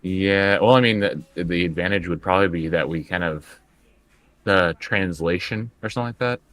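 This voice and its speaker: A man's voice: low-pitched, normal speed, fairly bright tone. A man casually playing a tabletop game in character, with dry, hesitant, needling banter.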